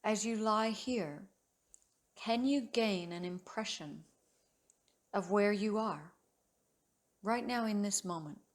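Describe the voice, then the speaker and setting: A woman yoga teacher leads a guided meditation at a very slow pace. Her mid-pitched voice is soothing and measured. She leaves long pauses between short phrases of gentle instruction.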